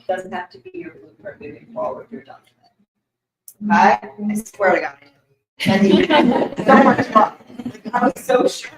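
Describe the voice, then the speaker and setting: A woman giving intuitive readings to a live audience, chatty and rambling, with many fillers and asides. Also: mid-range voice. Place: event room with an audience. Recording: echoey room.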